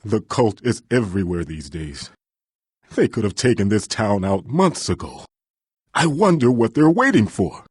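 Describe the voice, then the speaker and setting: An uneasy villager in a fantasy game. His low, husky voice muses ominously, with lively dips and rises. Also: normal speed, no echo, studio quality. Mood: worried, wary. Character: worried, brooding fantasy townsman. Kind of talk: fantasy game character acting.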